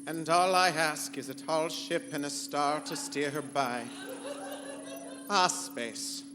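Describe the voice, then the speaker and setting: Pompous rogue spaceship computer in echoing hall. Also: male voice, on the high side, slow, background noise. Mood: grandiose. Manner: grandiose, pompous. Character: haughty, theatrical rogue ship computer with petulant temper. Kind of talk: live sci-fi comedy radio play in pulp serial style. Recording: live convention hall stage, reverberant, music behind.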